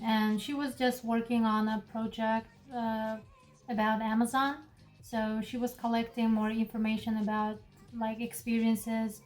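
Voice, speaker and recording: Young woman from Kazakhstan, echoey room. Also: on the high side. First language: Kazakh or Russian. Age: 20s. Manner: relaxed, thoughtful, frequent fillers and restarts. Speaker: interview guest. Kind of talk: casual interview.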